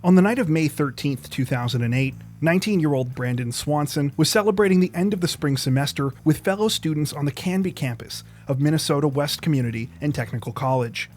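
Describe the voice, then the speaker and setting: Male true crime narrator, slightly high voice, serious and measured, soft guitar music underneath. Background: plucked guitar music.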